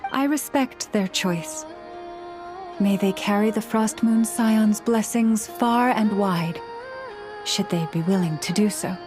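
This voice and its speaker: Game role: actress as tall, solemn priestess leader, mid-pitched female voice, slow measured formal delivery. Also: lightly acted. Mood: earnest, calm. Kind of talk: video game voice acting, formal speech. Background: background music.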